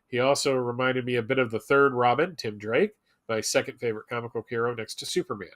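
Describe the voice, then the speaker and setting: A man's voice: medium pitch, fast, fairly bright tone. A man co-hosting a live show, chatting casually and reading viewer messages aloud.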